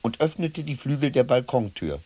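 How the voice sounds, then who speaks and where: Radio drama: troubled diary narrator, mid-range voice, animated intonation, literary delivery. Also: male voice, medium pitch, normal speed, noisy background. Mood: uneasy, intense.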